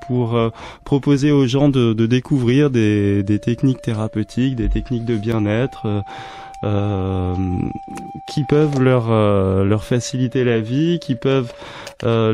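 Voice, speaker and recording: Male radio guest, thirties, soft mellow tone, searching for his words, close studio mic. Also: mid-range voice. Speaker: guest, wellness fair organiser. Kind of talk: radio interview answers. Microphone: close studio microphone, headphones.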